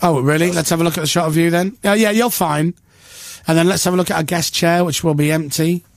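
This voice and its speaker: A man's voice: fairly high pitch, fairly even intonation, quick pace, vocal fry, no room sound. A man hosting breakfast radio from a studio, joking and teasing himself. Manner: jokey and self-mocking. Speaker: radio host. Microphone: studio mic.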